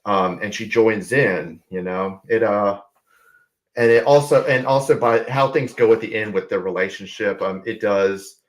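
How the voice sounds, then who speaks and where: A man's voice: fairly low pitch, a little slow. A man on a video call giving a rambling, enthusiastic film review with many restarts. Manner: rambling, full of restarts and fillers. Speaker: panelist. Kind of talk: casual film review discussion.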